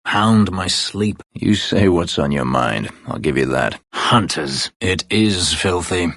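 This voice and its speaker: An actor playing a brooding, bitter escaped-slave elf warrior in a fantasy video game, terse, guarded and drily sardonic, with a gravelly voice. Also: male voice, fairly bright tone, no room sound, clean studio sound, exaggerated voice. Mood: agitated, angry. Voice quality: gravelly, husky low voice. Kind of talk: dramatic fantasy video game character dialogue.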